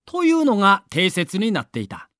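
High, animated male storyteller. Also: normal speed, dry recording, clean studio sound. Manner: vivid storyteller, builds excitement. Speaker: narrator. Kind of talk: dramatic scripted narration.

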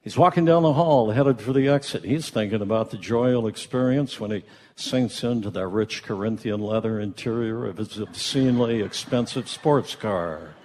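A man's voice: fairly low pitch, moderate pace, a little mellow, a little hiss or hum. Folksy male storyteller in a hall. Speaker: narrator. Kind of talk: folksy storytelling narration for a live radio play. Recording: echoey theatre hall.

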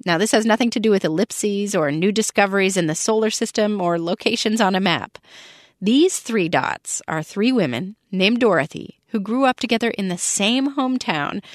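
A woman hosting a radio show, introducing the next story in a clear, conversational presenting style. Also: medium pitch, no room sound, studio quality. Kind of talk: scripted on-air introduction.

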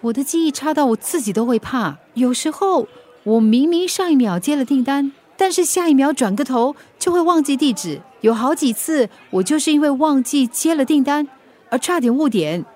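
Female delivery rider: rather high voice, forgetful, playful, coaxing, colloquial Singaporean accent, radio drama. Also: animated, moderate pace, darkish tone. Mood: worried. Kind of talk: Chinese-language radio drama acting.

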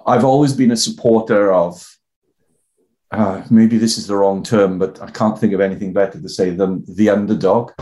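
An older Welsh man from the South Wales Valleys, talking as a guest in a reflective, halting way with many restarts. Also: on the low side, fairly even intonation, dark tone. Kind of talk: reflective personal interview talk.

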